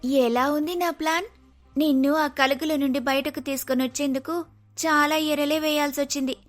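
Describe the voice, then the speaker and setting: High-voiced bold female undercover officer played by a woman. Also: steady tone, moderate pace, soft background music, lightly acted. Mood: taunting, triumphant. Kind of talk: dramatic moral-story voice acting.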